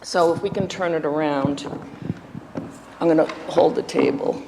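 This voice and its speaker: A workshop instructor walks through a practical demonstration, naming each part and working with tools, joking at their own expense now and then. The voice sits at a middle pitch and carries a long hall reverb with faint background noise, recorded live in the room as the speaker moves about. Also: steady tone, a little slow. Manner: practical, step-by-step explaining while handling tools; chatty with self-deprecating asides. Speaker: window restoration instructor. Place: indoor workshop room before an audience.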